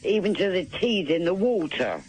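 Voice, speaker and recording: A woman's voice: fairly low pitch, very animated, normal speed, background noise. An older woman with a Berkshire accent, chatting casually in a blunt, scolding way. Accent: Berkshire, southern English. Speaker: radio host's mother. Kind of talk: casual phone chat.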